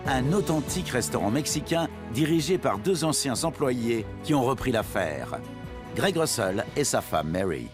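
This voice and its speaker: A man narrating a reality show in a brisk, dramatic storytelling way. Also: medium pitch, very animated. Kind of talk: scripted TV narration. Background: music bed.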